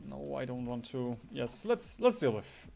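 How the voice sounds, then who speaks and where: A man's voice: mid-range voice, sing-song, unhurried, creaky, darkish tone. A man doing casual gaming stream commentary in a rambling, jokey way, swearing casually. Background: background music.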